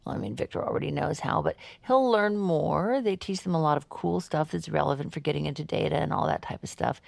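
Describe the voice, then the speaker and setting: An actress playing a chatty, understated true crime podcast co-host in scripted audio fiction styled as casual talk. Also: female voice, sits low, a little fast, creaky, darkish tone, no echo. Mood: casual, modest.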